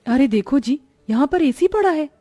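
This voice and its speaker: A woman's voice: high-pitched, animated, normal speed, dark tone. A woman voicing an earnest, hopeful young wife in a moral-story cartoon. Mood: persuasive.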